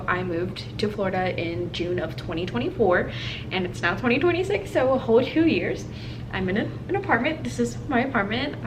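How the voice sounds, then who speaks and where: Chatty American woman vlogger, noisy recording. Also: medium pitch. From Hawaii, US. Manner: chatty, informal, thinking aloud. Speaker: video blogger. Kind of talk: casual chat to camera while doing makeup. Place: indoors in an apartment. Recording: clearly noisy home recording.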